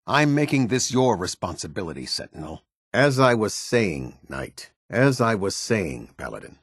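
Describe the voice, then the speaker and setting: Deep, husky, stern military captain. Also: male voice, big pitch swings, normal speed, no echo, clean studio sound, full character voice. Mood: brisk, authoritative. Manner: clipped, commanding, formal military delivery. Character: stern male military captain, disciplined, exacting, loyal to his order. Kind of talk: video game voice lines.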